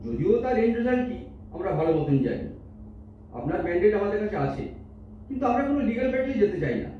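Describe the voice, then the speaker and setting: A man's voice: high-pitched, animated. Man playing formal hospital official, very echoey. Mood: firm, official. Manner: formal, measured, mixes in English. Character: formal, businesslike hospital official, firm about costs. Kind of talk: staged Bengali audio drama acting. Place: multipurpose hall stage. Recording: echoey hall, distant mic, noisy, dull.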